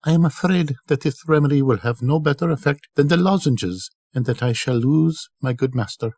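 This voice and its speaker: A man's voice: fairly high pitch, normal speed, darkish tone, husky, dry recording, clean studio sound, voice pushed up. An older man voicing a clever, bold young slave woman in a storybook, coaxing and secretive with a pleading manner. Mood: feigned sorrow. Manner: sighing, pleading storybook speech.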